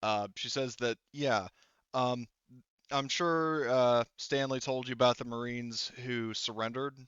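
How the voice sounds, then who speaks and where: A man's voice: mid-range voice, unhurried, a bit bright, clear, resonant tone, no echo, dull audio. A man acting as game master, narrating a tabletop game in a rambling, conversational way with many fillers and casual swearing.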